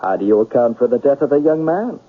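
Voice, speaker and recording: An actor playing a skeptical but courteous police sergeant in a 1970s radio drama, blustery and questioning. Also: male voice, medium pitch, lively intonation, quick pace, close to an everyday voice. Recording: muffled, lo-fi old radio recording.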